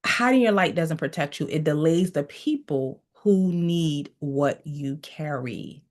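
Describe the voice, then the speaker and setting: Female mindset coach, lowish voice, preachy repetitive delivery, teaching tone. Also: animated, measured pace. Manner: preachy, repeats phrases, tells listeners to write things down. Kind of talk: motivational teaching session.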